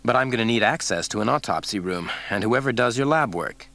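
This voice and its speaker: A man's voice: lowish voice, expressive, brisk, fairly bright tone. A man voicing a cocky male federal agent who speaks mildly and wryly. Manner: mild, wry, cocky.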